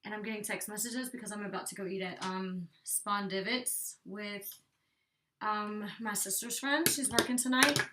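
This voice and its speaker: A woman's voice: mid-range voice, steady tone, moderate pace, noisy background. Young woman doing a hair tutorial at home, kinda stuffy nose, chatty, lots of likes, sounds a bit far from the mic. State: congested, slightly out of breath. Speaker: beauty vlogger. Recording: distant from the mic.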